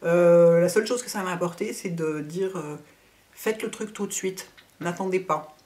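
Woman vlogger doing a q&a, kinda low voice, opinionated, casual and colloquial. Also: moderate pace, a little mellow. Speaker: beauty vlogger. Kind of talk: casual Q&A chat to camera. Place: living room at home, indoors.

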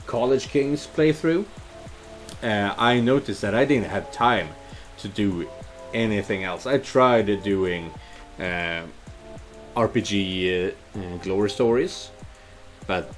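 A middle-aged man giving casual playthrough commentary and reading dialogue, speaking in a hesitant, halting way. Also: on the low side. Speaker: gaming video creator. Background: game music and rain ambience.

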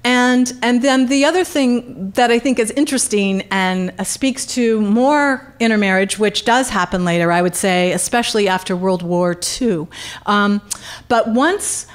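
Animated woman lecturing at a podium. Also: medium pitch, measured pace. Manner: animated and gesturing, with frequent fillers and restarts. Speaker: guest lecturer. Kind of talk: public history lecture with audience questions. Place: conference room with audience. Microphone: podium microphone on stand.

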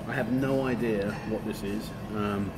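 A man's voice: on the low side, fairly even intonation, unhurried, over loud music, rough recording. A man in his forties, filming a casual food vlog on a handheld phone inside a restaurant, chatty and enthusiastic, repeating words for emphasis. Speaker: vlogger. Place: restaurant indoors. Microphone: handheld phone camera.

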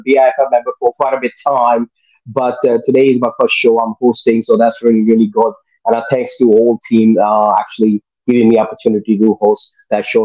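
A man's voice: mid-range voice, dull audio. A middle-aged man hosting a talk show over a video call from his living room, enthusiastic and informal. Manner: enthusiastic, loose informal phrasing. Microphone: video call webcam.

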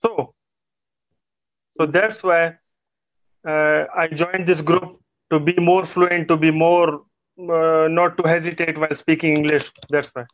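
A man's voice: fairly high pitch, level tone, measured pace, long pauses, fairly bright tone, no echo. A man speaking on a video call in a casual conversation, hesitant, with many fillers and restarts while he explains himself. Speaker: guest participant practicing English. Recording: muffled video call audio.

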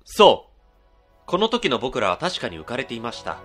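A man playing a sly, eager young office junior in a comedic radio drama, who also narrates in a hushed, dramatic tone. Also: mid-range voice, wildly swooping pitch, moderate pace, in a large hall, faint music behind. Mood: hushed narration.

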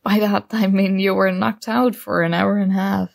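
A woman's voice: mid-range voice, level tone, normal speed, creaky, close to an everyday voice. A woman playing a shy, nerdy young student flustered by her crush in an ASMR roleplay, speaking hesitantly and awkwardly. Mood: sheepish.

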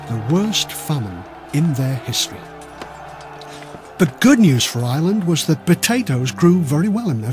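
A man narrates comic history with theatrical, wry delivery. His voice sits high for a man and rises and falls in a very lively way. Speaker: audiobook reader.

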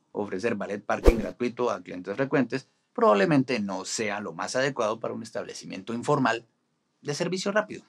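A man in his forties explaining restaurant marketing to camera in a studio, with a steady, instructive delivery. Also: on the low side, gravelly, breathy edge. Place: studio in front of a green screen.

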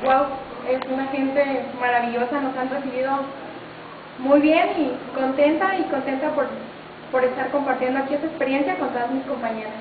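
A woman's voice: high-pitched, steady tone, moderate pace. A young woman with a Mexican accent introducing herself as a pageant contestant. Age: young adult. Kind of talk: self-introduction. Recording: tinny, very echoey, noisy distant recording.

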